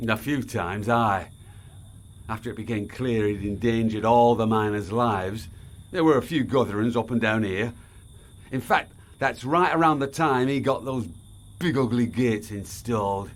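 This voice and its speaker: A man's voice: medium pitch, a little slow, close to an everyday voice. Man acting blunt, bitter working-class townsman. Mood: weary, resentful. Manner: blunt, folksy, says aye. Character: plain-spoken, bitter working-class townsman, ex-miner type. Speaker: actor in audio drama. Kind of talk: audio drama acting.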